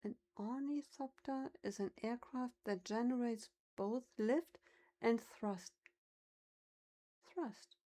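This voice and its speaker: Slow-talking German-accented woman streamer. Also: on the high side, warm tone. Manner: chatty, muses over words aloud. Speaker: livestreamer. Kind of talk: casual livestream chat.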